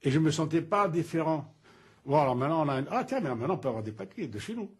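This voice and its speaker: Older man from Algeria, husky voice, halting, repeats words, casual recollection. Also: a little high, animated. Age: 70s. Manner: halting, repeats words while searching for them. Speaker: interviewed artist. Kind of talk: casual recollection in a news report.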